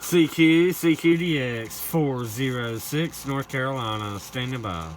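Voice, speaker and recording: A man's voice: mid-range voice, a little slow. An American man working as a CB radio operator, making repetitive formulaic calls in operator jargon. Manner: repetitive formulaic call-outs. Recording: very noisy radio shack, loud static. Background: loud radio static and noise.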